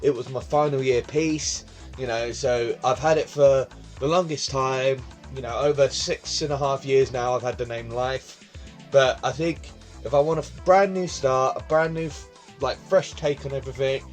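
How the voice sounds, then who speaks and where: A man's voice: mid-range voice, moderate pace, soft background music. Chatty young man vlogging. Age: 20s. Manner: rambling, chatty, repeats himself. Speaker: vlog host. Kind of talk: casual talking-to-camera vlog. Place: his bedroom, indoors. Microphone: phone camera on tripod.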